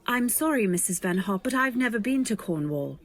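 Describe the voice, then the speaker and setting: A woman playing a timid, wistful young woman who narrates an old-time radio drama in a measured, dreamy, deferential way. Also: mid-range voice, lively intonation. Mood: meek, polite.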